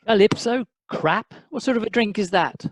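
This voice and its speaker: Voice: mid-range voice, expressive, normal speed, no echo, lightly acted. Pompous English husband, dry close sound acted. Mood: curious, superior. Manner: pompous, fussy, superior. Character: pompous, thrifty English retired civil servant husband. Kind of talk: amateur radio comedy acting.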